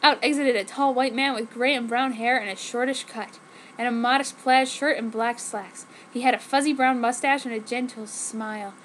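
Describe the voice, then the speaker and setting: A high-pitched woman reads amateur fiction aloud in a mock-serious, theatrical way. Her delivery is exaggerated and dramatic. There is some noise behind her on the recording. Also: fairly even intonation, moderate pace, fairly bright tone, low-quality recording. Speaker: amateur fanfiction reader. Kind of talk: exaggerated dramatic story reading. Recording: noticeable background noise.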